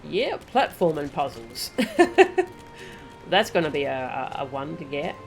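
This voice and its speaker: Low-voiced woman streaming a game. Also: lively intonation, slow, gravelly, faint music behind, poor audio. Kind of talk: casual live gameplay commentary.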